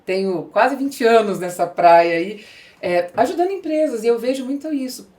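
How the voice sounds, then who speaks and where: A woman's voice: fairly high pitch, lively intonation, normal speed, a little mellow. A middle-aged woman with a Brazilian accent hosting a podcast, explaining her views in a conversational way. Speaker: podcast host, business growth specialist.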